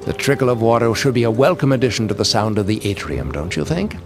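A man's voice: fairly low pitch, big pitch swings, fast. A man playing a refined, scheming crime lord in a comic audio drama, suave, grandiose and menacing. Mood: suave menace. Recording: music under the voice.

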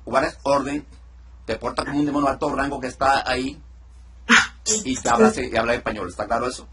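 A middle-aged man speaks with calm authority over a video call. He gives steady commands and pauses to explain each step of the session. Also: mid-range voice, a little hiss or hum. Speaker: deliverance minister. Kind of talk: ministry session with teaching asides. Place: indoor room.

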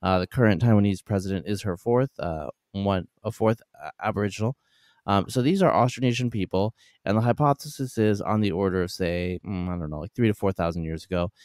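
Male podcast host, low smooth voice, dry close sound, hesitant casual delivery. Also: brisk, a little mellow, dry recording. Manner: hesitant, many fillers and restarts. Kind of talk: casual interview.

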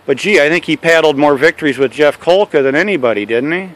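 A man interviewing a canoe racer, building his questions slowly and rambling a little. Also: on the high side, fairly bright tone, low-quality recording.

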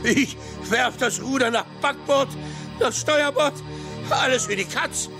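An actor playing a blustering, excitable seafaring uncle in a German children's radio play, with exclamatory sailor talk. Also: male voice, very high voice, measured pace, music in the background. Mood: alarmed, shouting.

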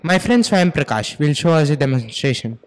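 A teenage male student with an Indian accent delivers a rehearsed school presentation. He speaks formally, in a voice a little higher than average for a male. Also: big pitch swings, normal speed, slight background noise. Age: teens, about 15. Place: school computer lab, indoors. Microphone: handheld microphone.

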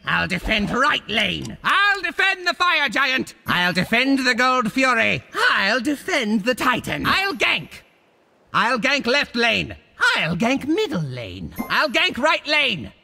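A man's voice: extremely high pitch, huge pitch swings, takes it slowly, gravelly, bright, forceful tone, a little hiss or hum, exaggerated voice. A man voicing a boastful, theatrical cartoon monster villain for a video game, with a hammy, swooping delivery. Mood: commanding, urgent.

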